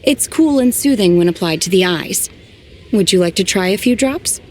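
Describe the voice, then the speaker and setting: Actress as a calm, earnest young woman. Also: mid-range voice, a little hiss or hum, natural voice. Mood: gently polite. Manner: measured, earnest, plain. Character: calm, polite, literal-minded young woman adeptus disciple. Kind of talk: video game voice acting.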